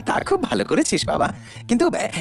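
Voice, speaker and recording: A performer voicing an old village uncle in a cartoon, gravelly and hesitant, with kindly, slightly eerie phrasing. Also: medium pitch, huge pitch swings, exaggerated voice. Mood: kindly, surprised. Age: older. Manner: halting, broken phrasing with drawn-out hesitations. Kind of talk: Bengali village cartoon dialogue.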